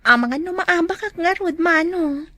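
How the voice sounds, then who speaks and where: A modest young female student in a radio drama. Her high voice is demure and questioning, with a dry, close sound. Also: normal speed, bright, forceful tone, no echo. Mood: unsure. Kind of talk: Filipino radio drama acting.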